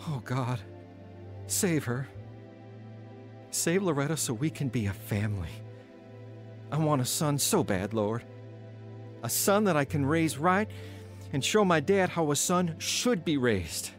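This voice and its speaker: An actor in an audio drama playing an earnest, emotional, wavering ex-convict who has newly found religion. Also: male voice, a little high, expressive, a little slow, long pauses, over music. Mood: pleading. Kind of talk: audio drama acting and first-person narration.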